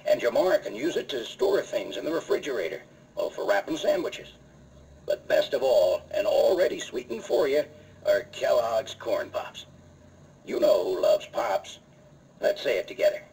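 Folksy, very lively radio pitchman. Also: normal speed, vocal fry. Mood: jolly. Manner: folksy, emphatic salesman delivery. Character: folksy cowboy-show pitchman selling cereal to kids. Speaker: announcer in a cereal commercial. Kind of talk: 1950s radio advertising pitch. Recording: noisy old radio recording.

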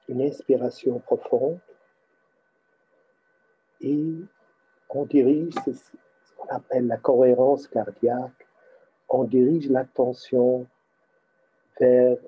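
A man leads a guided meditation in a husky voice. He speaks extremely slowly and haltingly, breaking instructions into short phrases. The recording is dull and lo-fi. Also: mid-range voice.

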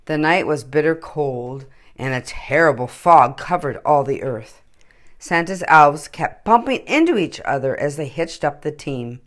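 A woman reads to children as a story time host. Her voice sits a little low and moves expressively up and down, with slow, deliberate pacing. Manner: deliberate, animated.

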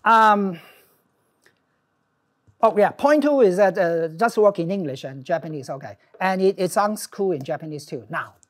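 Animated man with a Hong Kong accent. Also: high-pitched, normal speed. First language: Cantonese. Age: middle-aged. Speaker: professor, lecturer. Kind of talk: informal academic lecture. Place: classroom with small audience, indoors.

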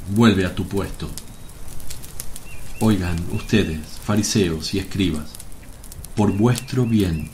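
An actor playing a gentle, grave rabbi and healer, solemn and measured, in a radio drama. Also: male voice, fairly low pitch, slight background noise. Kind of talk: Spanish radio drama acting.

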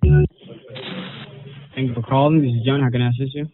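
A man's voice: medium pitch, fairly even intonation, unhurried, over music. A man working as a call agent, curt and foul-mouthed on the phone. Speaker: scam call centre agent. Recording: tinny phone line.

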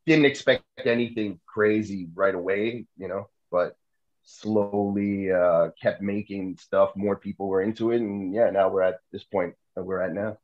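Man, guest rapper, low voice, laid-back, filler-heavy, video call from home, dull lo-fi audio. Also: steady tone, unhurried. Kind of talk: casual interview talk.

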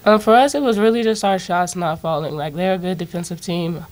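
A woman's voice: on the low side, a little fast. A young woman, a college basketball player answering postgame questions at a table mic in a casual, plain-spoken way. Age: young adult. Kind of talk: postgame press conference answers. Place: press conference room, seated at table. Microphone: table mic on stand.

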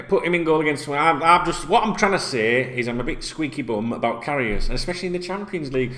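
A man's voice: mid-range voice, pushed and bright. A middle-aged man, ranting casually and swearing a lot as a football vlogger talking straight to camera in a small room. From Yorkshire, England. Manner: sweary, rambling, conversational. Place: small indoor room, plain wall.

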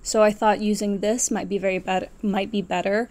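A woman's voice: medium pitch, flat delivery, normal speed, darkish tone. A woman in her twenties chatting casually to camera as a vlogger, rambling and correcting herself as she goes. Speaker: knitting vlogger. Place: small furnished room at home, indoors.

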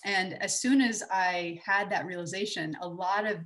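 A woman's voice: medium pitch, steady tone, moderate pace, fairly bright tone. A woman in her forties from Texas giving a conversational conference talk over a video call, with many fillers and restarts. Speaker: conference speaker. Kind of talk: online conference talk with slides. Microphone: webcam on a video call.

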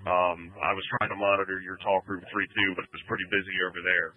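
Low voiced guy commanding a fire scene, steady procedural radio talk, thin phone-like sound. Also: quick pace, no echo. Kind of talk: emergency radio traffic. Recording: phone-like emergency radio transmission.

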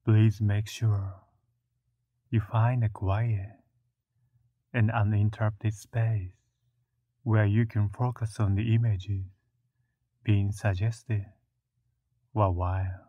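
Slow, low-voiced man guiding meditation, phone-like sound. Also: level tone, dry recording. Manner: measured, with long pauses between short phrases. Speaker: guided meditation leader. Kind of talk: slow, soothing guided meditation narration. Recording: muffled, narrow phone-like sound.

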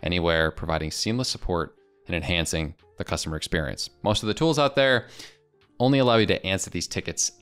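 A man in his twenties with an American accent, presenting a casual software demo into a close desk microphone in a small home studio. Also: low-pitched, brisk, clear, resonant tone, soft background music. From United States. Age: 20s. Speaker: presenter of software review videos. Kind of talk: casual product demo narration.

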